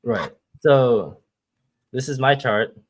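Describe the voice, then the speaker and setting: A man's voice: mid-range voice, slow. A man from Hong Kong, a guest astrologer, patiently explaining step by step over a video call. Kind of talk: explaining with shared screen.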